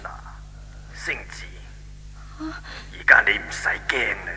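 A man's voice: mid-range voice, wildly swooping pitch, slow, whispered. A man playing a stern but benevolent old deity in a Cantonese radio drama from 1970s Hong Kong, preaching in a solemn, commanding manner. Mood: solemn reassuring. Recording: echoing, hissy old radio drama recording.

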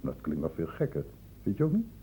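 Probing radio-play doctor, lively and husky. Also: male voice, low-pitched, a little fast, full character voice. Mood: insistent, lecturing. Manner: insistent, explanatory questioning with short pointed prompts. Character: probing, patient male public-health doctor questioning a youth. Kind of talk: radio drama acting. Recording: dull lo-fi old radio play recording.

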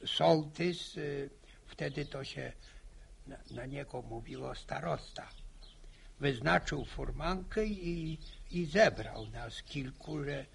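An actor playing a talkative, teasing old peasant with a creaky voice, halting and repeating his words in a radio drama. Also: fairly high pitch, slight background noise, full character voice. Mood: reminiscing. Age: older. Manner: halting, stammering repetitions, slow. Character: talkative old village peasant man, folksy, teasing, bossy.

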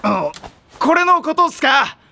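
Loud, excitable high school boy. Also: unhurried, vocal fry, exaggerated voice. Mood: brash. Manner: brash, over-the-top reactions. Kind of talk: Japanese anime audio drama acting. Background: background music.

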